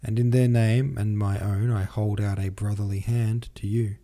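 Stern old gentleman Freemason read by a male narrator, formal and deliberate, dark low voice, storybook delivery. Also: level tone, close to an everyday voice. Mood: solemn.

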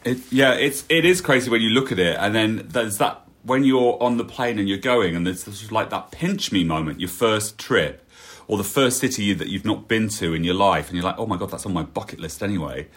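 A man's voice: lowish voice, fairly quick, a bit bright, slightly rough. A man hosting a conversational interview, reflective and fond of tag questions. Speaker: podcast host.

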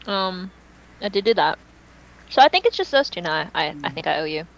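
A woman's voice: fairly low pitch, big pitch swings, brisk, vocal fry, a bit bright, low-quality recording. A woman co-hosting a fan podcast in casual, unscripted chat, speaking emphatically and repeating phrases for dramatic effect. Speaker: podcast co-host and fan fiction writer.

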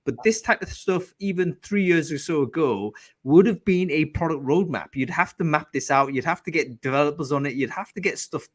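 A man's voice: a little high, brisk, no room sound. A man hosting a live online show, talking in a casual, enthusiastic way with many fillers and restarts. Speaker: host of a live recruiting show. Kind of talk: casual live-stream chat.

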